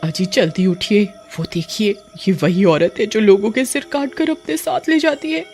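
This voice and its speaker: A woman's voice: mid-range voice, normal speed, darkish tone, soft background music, lightly acted. A woman voicing a frightened, insistent village wife in a cartoon. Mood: panicked. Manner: alarmed, insistent, pleading.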